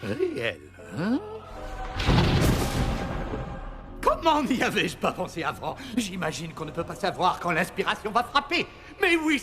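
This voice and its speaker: A man voicing a megalomaniac master puppeteer in an animated film, with grandiose, theatrical, self-aggrandizing delivery. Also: sits high, heavily acted. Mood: scheming glee.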